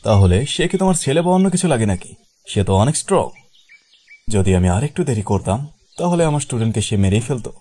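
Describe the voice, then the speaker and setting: A man's voice: mid-range voice, very animated, fast talker, mellow, husky. A man voicing a powerful, cocky sorcerer teacher in an anime fan dub, breezy and teasing with blunt menace. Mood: confrontational.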